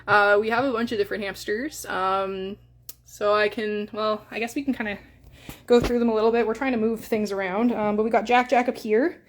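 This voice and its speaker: A woman's voice: medium pitch, steady tone, brisk, a little hiss or hum. A young woman with a Canadian accent chatting in a casual live stream, full of fillers and coos. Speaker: hamster breeder streaming live.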